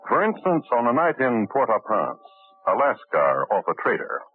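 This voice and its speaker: A man's voice: mid-range voice, wildly swooping pitch, normal speed, husky. Wry old-time radio narrator, a man. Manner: dry, sardonic. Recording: tinny old radio broadcast with music.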